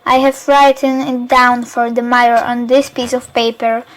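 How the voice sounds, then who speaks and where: Protective, urgent vagabond played by a schoolgirl for a radio play, high-pitched. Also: little pitch movement, unhurried, lightly acted, low-quality recording. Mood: reassuring. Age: child or teenager.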